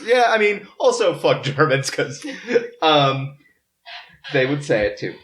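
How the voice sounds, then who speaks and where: A man hosting a podcast, telling history from notes in a casual, halting way among the group. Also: on the high side, big pitch swings, fairly bright tone. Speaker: podcast host.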